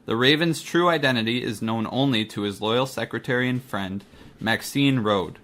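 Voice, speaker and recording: A man's voice: medium pitch, moderate pace, fairly bright tone. A man announcing and narrating a radio mystery in a scripted style.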